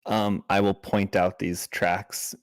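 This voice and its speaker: A man's voice: low voice, level tone, slow, no echo. A man chatting casually as a player at a tabletop game, with a playful, wry manner.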